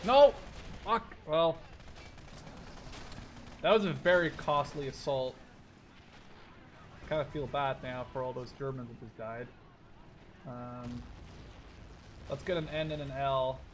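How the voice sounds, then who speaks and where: A man's voice: medium pitch, takes it slowly, pauses often. Young man gaming over battle noise. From Canada or the Netherlands. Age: 20s. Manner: sweary, thinking aloud. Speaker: gaming video host. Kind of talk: casual let's play commentary. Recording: game gunfire and vehicle noise behind. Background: war game artillery, gunfire and vehicles.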